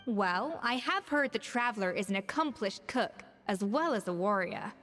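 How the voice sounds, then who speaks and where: A woman voicing a proud, exacting young female master chef in a video game, crisp and self-assured. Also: mid-range voice, animated, normal speed, natural voice. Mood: proud, demanding. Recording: game music behind voice.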